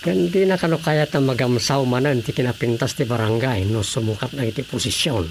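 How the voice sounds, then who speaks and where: A gravelly Ilocano man, an actor's role, weary and dutiful as a grown son in a radio drama. He speaks matter-of-factly in a creaky voice over background noise. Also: mid-range voice, fairly quick, lightly acted. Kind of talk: Filipino radio drama acting.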